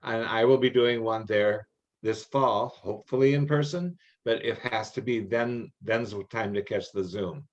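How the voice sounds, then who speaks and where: A man's voice: fairly low pitch, moderate pace, lo-fi sound. An older, retired American man explaining things in a meeting, emphatic and given to repeating words for weight. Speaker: workshop presenter and judge trainer.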